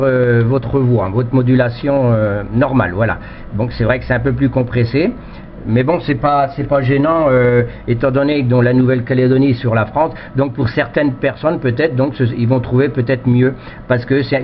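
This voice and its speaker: A man talking casually as an amateur radio operator, repeating himself in stop-start phrases over a two-way radio link. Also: mid-range voice. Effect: two-way shortwave radio transmission. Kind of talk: casual radio contact chat. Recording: muffled radio signal with static.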